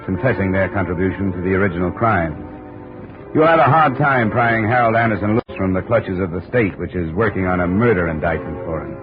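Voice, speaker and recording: A man's voice: deep voice, lightly acted. An actor playing a world-weary, hard-boiled insurance investigator, narrating his case dryly in a 1950s radio drama. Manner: dry, terse. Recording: dull old radio recording.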